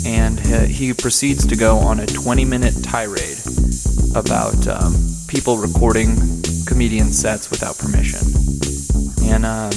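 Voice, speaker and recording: Low, hesitant male narrator. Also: big-room sound. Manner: hesitant, filler-heavy, dry. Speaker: narrator and host. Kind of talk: narration for a comedic radio play.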